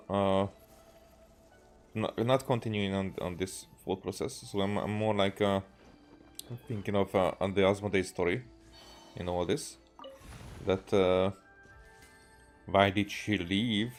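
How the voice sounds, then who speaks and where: A man from Hungary, thinking aloud in halting, rambling gameplay commentary and often repeating himself. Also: fairly low pitch, fairly even intonation. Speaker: video game streamer. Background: faint game music.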